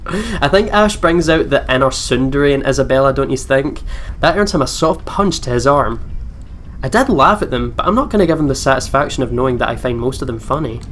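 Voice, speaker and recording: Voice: mid-range voice, animated, brisk, fairly bright tone, a little hiss or hum. A let's play host reading game text aloud with casual commentary, over game music.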